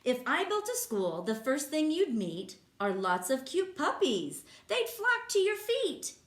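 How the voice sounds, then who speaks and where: A woman's voice: sits high, huge pitch swings, unhurried, pitched above the performer's own voice, full character voice. A woman voicing an imaginative young boy who describes his dream school in bouncy, sing-song rhymes. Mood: excited. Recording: echoey room.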